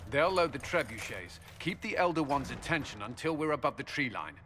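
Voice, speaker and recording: A stern military commander barks clipped orders in a bright, forceful voice. His grim words trail off into a long, echoing hall reverb. He is a leader in a video game cutscene. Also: medium pitch, very animated, a little fast, a little hiss or hum.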